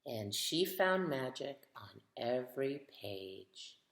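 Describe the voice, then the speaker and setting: A woman's voice: a bass voice, big pitch swings, slow pace. A woman reading a picture book aloud to children, expressively, putting questions to her young listeners. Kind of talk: storytime read-aloud for children. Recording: roomy, echoing sound.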